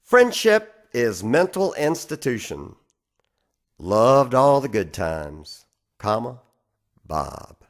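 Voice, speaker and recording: Male poetry reader. Unhurried, deliberate delivery with animated intonation. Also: mid-range voice. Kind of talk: dramatic poetry recitation.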